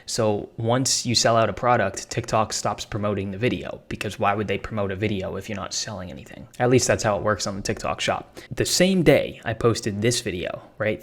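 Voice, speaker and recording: A young man giving a casual, hyped, slang-heavy tutorial on making money online, talking off the cuff to his viewers. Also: on the low side. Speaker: online business creator.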